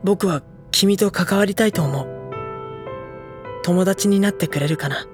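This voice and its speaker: An actor playing a gentle, earnest high school boy who speaks and narrates sincerely in an audio drama. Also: unhurried, over music. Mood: earnest, warm.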